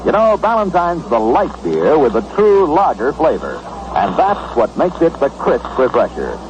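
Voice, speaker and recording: Noisy vintage radio pitchman, lively male voice. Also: normal speed, dull audio. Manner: polished, promotional salesman delivery. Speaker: radio sports announcer reading sponsor copy. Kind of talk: scripted 1960s radio advertising read.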